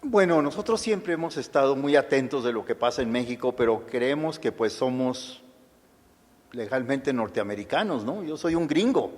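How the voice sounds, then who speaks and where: A man's voice: mid-range voice, animated, moderate pace, a little mellow. An older man from the United States with a Chicano Spanish accent, giving a thoughtful lecture and answering audience questions, often restarting his phrases. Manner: thoughtful, with frequent restarts and fillers. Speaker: guest lecturer, historian. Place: lecture hall. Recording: lecture hall with long reverb.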